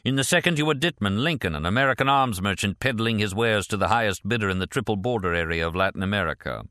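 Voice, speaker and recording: A man voicing a suspicious, mock-polite customs supervisor with a dry, deadpan interrogating manner. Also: on the low side, fast talker, no echo. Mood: accusing.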